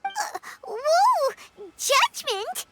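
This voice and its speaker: A woman voicing a tiny, excitable, cheeky childlike girl companion in a video game, with a squeaky voice and exclamatory manner. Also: extremely slow, very noisy, full character voice. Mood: startled, evasive. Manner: exclamatory, speaks of herself in third person. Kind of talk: English video game dub acting.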